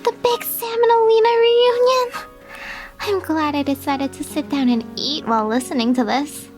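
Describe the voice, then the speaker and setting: A woman's voice: high-pitched, steady tone, normal speed, music in the background, close to an everyday voice. A woman playing an amused, gossipy young woman who chats to herself in an audio drama. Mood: gleeful, musing. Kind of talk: audio drama character acting.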